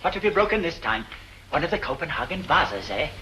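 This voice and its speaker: An actor playing a fussy, easily flustered and forgetful art shop owner in an old detective drama. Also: male voice, a little high, very animated, brisk, bright, forceful tone, low-quality recording. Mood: exasperated scolding. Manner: fussy, scolding, flustered exclamations. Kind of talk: old-time detective film acting. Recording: old soundtrack with background noise.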